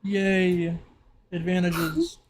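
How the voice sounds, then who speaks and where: A man improvising narration as a tabletop game master in a halting way. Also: high voice, flat delivery. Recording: clearly noisy background.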